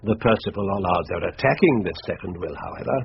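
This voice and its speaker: Dry English solicitor, lowish swooping voice, precise and confidential, muffled radio-play sound. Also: male voice, expressive, fast talker, gravelly. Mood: drily confidential. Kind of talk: radio drama acting. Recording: dull, lo-fi hissy radio recording.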